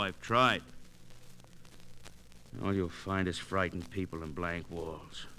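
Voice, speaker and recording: An actor playing a blunt, exasperated police lieutenant arguing in a 1940s radio noir drama. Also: male voice, mid-range voice, pushed and bright, poor audio. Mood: resigned. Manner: blunt, slangy, argumentative. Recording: 1940s radio disc, faint noise.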